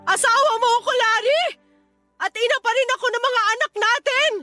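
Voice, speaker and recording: An ailing, indignant Filipino mother in a radio drama. Her voice is extremely high, pleading and full of reproach. Soft music plays faintly underneath. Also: fairly quick. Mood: indignant, angry. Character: hurt, indignant ailing wife and mother, middle-aged. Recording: faint music bed behind voice.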